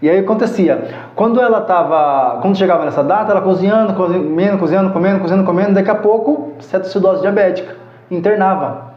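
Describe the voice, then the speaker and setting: A man's voice: sits high, fast. Chatty man telling stories. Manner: chatty, laughs often, acts out quoted dialogue. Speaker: podcast guest. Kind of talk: casual storytelling. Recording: echoey room, slightly dull sound.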